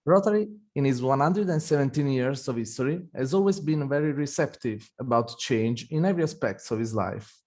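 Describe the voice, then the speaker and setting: A man's voice: mid-range voice, animated, fairly quick, darkish tone. Man hosting an online meeting. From Italy. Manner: reads prepared remarks, occasional restarts. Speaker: event host. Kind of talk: formal welcome speech.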